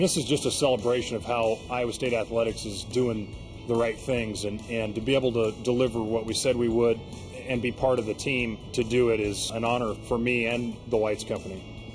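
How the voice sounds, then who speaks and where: A man who manages the construction, giving an enthusiastic interview soundbite with some restarts. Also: mid-range voice. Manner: enthusiastic, with filler-laden restarts. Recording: interview clip with music underneath.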